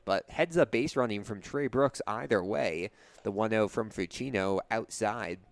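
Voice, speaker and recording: A man's voice: on the low side, measured pace, gravelly, no echo. A young man doing live radio play-by-play commentary of a baseball game as the announcer. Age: young adult.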